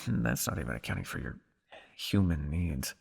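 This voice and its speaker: A man playing a weary, guilt-ridden old vampire scientist in an ASMR roleplay, speaking to the listener in a measured, dryly formal way. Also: extremely low pitch, sing-song, vocal fry, long reverb tail, heavily acted. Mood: urgent, firm.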